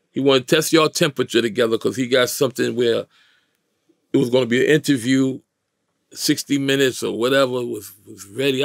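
A man in his fifties with a gravelly voice and a New York accent, telling a story in slangy, emphatic, streetwise interview talk. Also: medium pitch, dry recording, clean studio sound. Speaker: interview guest. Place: small indoor recording studio, dry sound.

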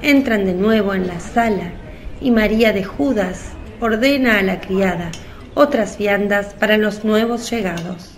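A woman's voice: medium pitch, measured pace, slight background noise. A female narrator tells a religious story for a radio play. Her voice sits in a middle register, and she reads from a script.

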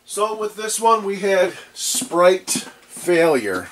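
A man's voice: sits high, big pitch swings, slow pace, a little hiss or hum. A man hosting an arcade board repair vlog, talking casually in his electronics workshop while stuffed up with allergies, rambling and restarting his sentences. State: stuffed up, congested.

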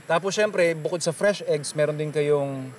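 A man's voice: on the high side, a little slow, darkish tone, a little hiss or hum. A man in his thirties with a Filipino accent, presenting and interviewing casually outdoors on a farm. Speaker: host, chef. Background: background music.

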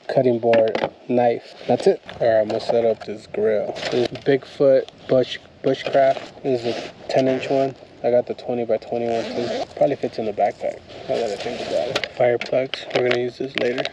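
A relaxed man in his thirties talks through his camp setup in a forest, chatty and hands-on. He is recorded on an action camera outdoors, with steady outdoor noise behind him. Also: mid-range voice. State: hushed early-morning talk. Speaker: moto camping vlogger. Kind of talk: casual vlog narration. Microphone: action camera, chest-mounted or handheld.

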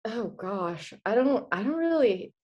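A woman in her twenties from the Czech Republic, chatting as a guest in a video-call interview, animated and quick to laugh. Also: fairly high pitch, muffled recording. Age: 20s. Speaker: guest, former college hockey player. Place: small indoor room. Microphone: laptop webcam on video call.

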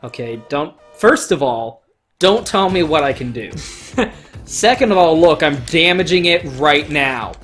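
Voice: mid-range voice, animated, unhurried, fairly bright tone. A gamer giving casual, emphatic let's play commentary, swearing freely and joking along the way. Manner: profane, jokey, emphatic. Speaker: gaming video host playing and commentating. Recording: game music under the voice. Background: video game music.

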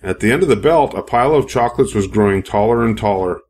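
An older man reading a children's picture book aloud as an expressive storyteller. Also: on the low side, fast, a little hiss or hum. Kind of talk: scripted narration, picture book read-aloud.

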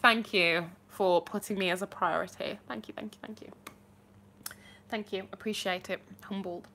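A young woman chatting casually to viewers on a live stream from her bedroom, bubbly and animated, with her phone held at arm's length. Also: mid-range voice, moderate pace, slight background noise. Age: young adult. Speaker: livestream host answering viewer questions. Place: small bedroom indoors.

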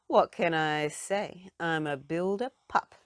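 A woman's voice: lowish voice, slow pace, no room sound, studio quality. A woman voicing a keen, plucky cartoon rescue puppy from a storybook, barking out cheerful catchphrases. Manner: exclamatory, barked catchphrases.

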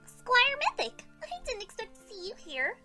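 A woman playing a bubbly, affectionate young cartoon pony aunt with an exuberant, squeaky delivery. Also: big pitch swings, normal speed, bright, forceful tone, full character voice. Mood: excited. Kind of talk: animated fan series voice acting. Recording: music behind the voice.

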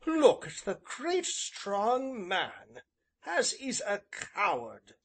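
Guy doing a fiery young village woman, high swoopy voice, telling people off, storybook style. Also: huge pitch swings, very slow, a bit bright, slightly rough, full character voice. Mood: scornful, indignant. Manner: emphatic, scolding.

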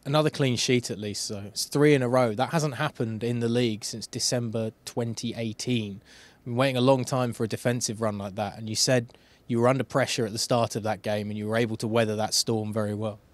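Male club reporter, mid-pitched, friendly and brisk. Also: steady tone, no room sound. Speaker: club interviewer. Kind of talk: post-match interview questions.

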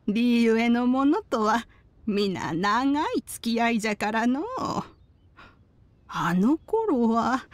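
An actress playing a wise, kindly old grandmother in a video game, with archaic phrasing and a nostalgic air. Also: on the high side. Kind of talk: video game character dialogue. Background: background music.

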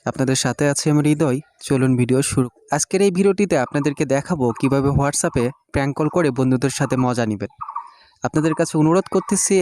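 A young man hosting a screen-recorded phone app tutorial, talking slowly and hesitantly with drawn-out fillers. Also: mid-range voice, a little mellow, a little hiss or hum. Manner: hesitant, many drawn-out fillers while clicking. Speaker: tech tutorial host.

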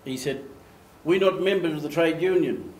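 An older man from Australia, giving an animated storytelling talk at a lectern in a meeting room. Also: fairly high pitch, normal speed, slight background noise. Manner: animated, gestures, acts out quoted voices. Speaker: speaker giving a slide talk. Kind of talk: storytelling presentation. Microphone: far camera. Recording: echoey room, faint ambience.

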